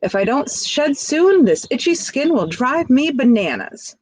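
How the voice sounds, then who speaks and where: A woman's voice: high-pitched, sing-song, unhurried, a little mellow, slightly rough, no echo, pitched above the performer's own voice, exaggerated voice. Woman doing a fussy, whining green snake. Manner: whining, scolding.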